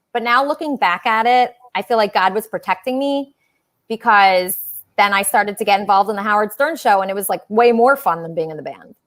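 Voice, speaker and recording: A woman hosting a casual livestream talk show, chatting with callers in a gushing, emphatic way. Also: medium pitch.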